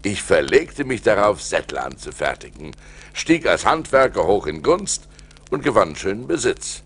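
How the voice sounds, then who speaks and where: An actor playing an adventurous seafarer who recounts his ordeals theatrically in a German radio play, moving between hushed and forceful delivery. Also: fairly low pitch, very animated, normal speed, husky, heavily acted. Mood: vivid storytelling. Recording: noisy vinyl record transfer.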